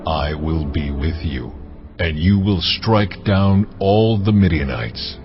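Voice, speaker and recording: An actor playing the solemn, commanding voice of God in a dramatized scripture recording, speaking deliberately and with authority. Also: male voice, deep voice, animated, exaggerated voice. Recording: muffled audio with music underneath.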